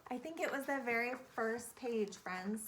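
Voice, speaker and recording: A woman speaking as an elementary teacher, leading an interactive read-aloud for young children in a deliberate, instructive way, repeating key phrases and questions. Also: a little high, animated, noisy background.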